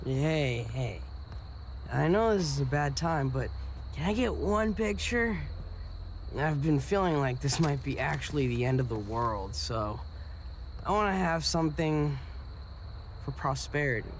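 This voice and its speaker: An actor playing an awkward, tipsy, nerdy young male student with a halting, self-deprecating manner in a video game. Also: on the high side, lively intonation, a little hiss or hum. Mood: sheepish.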